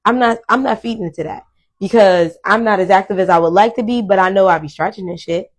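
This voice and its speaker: A woman's voice: medium pitch, quick pace. Blunt, casual woman in her thirties. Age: 30s. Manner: blunt, candid, swears freely, rambling with many fillers. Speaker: podcast host. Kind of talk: casual solo monologue to viewers.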